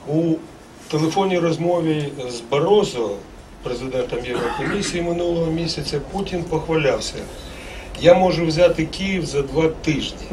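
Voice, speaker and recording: Measured official-sounding man, distant mic. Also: on the high side. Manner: measured, official, reading out a prepared text. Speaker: panelist. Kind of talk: formal prepared statement at a panel discussion. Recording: distant mic with faint room noise.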